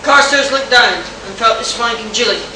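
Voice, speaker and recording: A woman's voice: on the high side, moderate pace. A woman narrator giving a live dramatic reading of a pulp story at a convention. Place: convention hall. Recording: noisy, echoey convention hall from far off.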